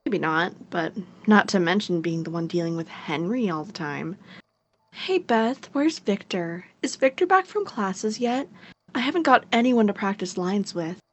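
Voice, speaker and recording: An actress playing a teasing, caring young sister in a naturalistic audio drama. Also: female voice, medium pitch, animated, a little slow. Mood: playful teasing. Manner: playful, teasing, sarcastic.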